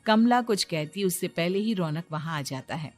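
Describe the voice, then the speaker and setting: A woman's voice: fairly low pitch, lively intonation, moderate pace, faint music behind. A woman narrating a scripted moral story for a cartoon, in storytelling style.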